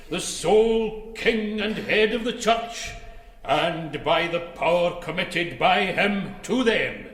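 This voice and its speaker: An actor playing a stern, formal church official, declaiming solemnly in a radio drama. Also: male voice, high-pitched, a bit bright. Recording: echoing hall, long reverb.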